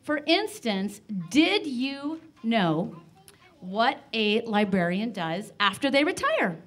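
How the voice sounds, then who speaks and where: A woman's voice: a little high, very animated, takes it slowly, fairly bright tone. A woman hosting a live radio show before an audience, with an effusive, playful manner. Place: live broadcast in library meeting room. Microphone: stage microphone.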